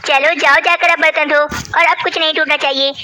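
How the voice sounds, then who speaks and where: A woman's voice: high voice, steady tone, normal speed, background noise, lightly acted. A woman voicing an ill-tempered, bossy female crow in a cartoon, scolding and threatening. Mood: furious. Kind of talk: Hindi cartoon voice acting.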